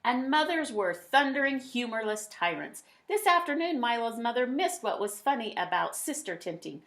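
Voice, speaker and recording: A woman reading a children's picture book aloud, with dramatic emphasis and drawn-out words. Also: fairly high pitch, big pitch swings, fairly bright tone. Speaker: read-aloud storyteller.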